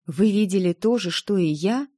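A woman's voice: medium pitch, moderate pace, dark tone, no echo, studio quality, natural voice. A woman reading a storybook, voicing an uncertain young female student who is hesitant and awkward. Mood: questioning. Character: uncertain young heroine, new student medium from Earth.

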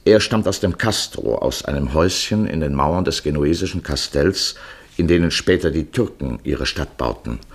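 Male narrator for literary radio drama: low, rough-edged voice, measured scripted delivery. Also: lively intonation.

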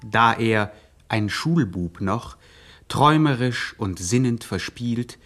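An actor playing a grandiose narrator, telling a gangster epic in pompous, mock-solemn radio style. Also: male voice, medium pitch, expressive, slow pace, a bit bright. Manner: pompous, theatrical, ornate.

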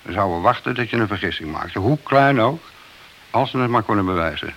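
A man's voice: on the low side, lively intonation, brisk, a bit bright. A man playing a principled, long-serving police detective in a radio play, admonishing in a matter-of-fact way. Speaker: radio drama actor. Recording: hissy, noisy old radio recording.

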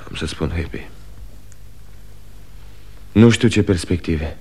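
A man's voice: low voice, dark tone, a little hiss or hum. An actor playing a disillusioned man in his thirties, a moody and weary elder son in a radio drama. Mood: brooding, weary. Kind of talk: 1960 Romanian radio theatre acting.

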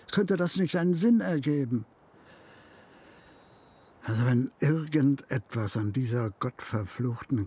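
An actor playing a troubled, grieving middle-aged father in a radio crime drama, halting and insistent as he searches for words. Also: on the high side, mellow, breathy edge.

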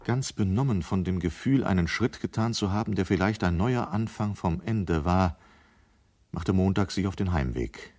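An actor narrating a 1970 radio play as a sober, measured storyteller. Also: male voice, sits low, animated, a little mellow.

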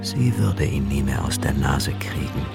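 Deep, gravelly male radio drama narrator. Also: animated, over loud music. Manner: measured, suspenseful storytelling.

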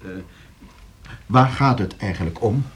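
A man's voice: lowish voice, lively intonation, unhurried. A man acting in a Dutch radio play as an anxious, flustered nephew, hesitant and stammering. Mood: uneasy. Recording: clearly noisy old radio play recording.

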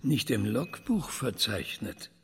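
An actor playing a weary, wistful middle-aged scientist who trains a thinking machine, husky and gravelly, in a radio drama. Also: male voice, on the low side, sing-song, slow, fairly bright tone, soft background music, heavily acted. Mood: probing. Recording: long hall reverb.

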